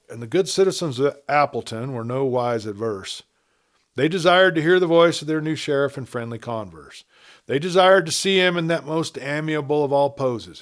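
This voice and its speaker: A man reading a western story aloud as a scripted narrator. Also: mid-range voice. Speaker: audiobook narrator.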